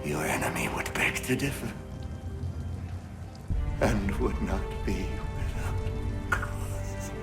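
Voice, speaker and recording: Dying aristocrat villain, slow and scornful, breathy, echoey with loud music. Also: male voice, low voice, big pitch swings, heavily acted. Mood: scornful, weakened. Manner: slow, sneering, theatrical delivery. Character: defiant wounded aristocratic villain, haughty and scornful. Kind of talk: cinematic video game acting. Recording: echoey, music and rain behind.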